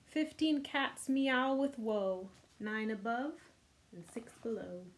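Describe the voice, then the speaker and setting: A woman's voice: on the high side, slow pace, low-quality recording. A woman hosting a children's storytime, reading a picture book expressively with casual asides. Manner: expressive, voices the characters' lines.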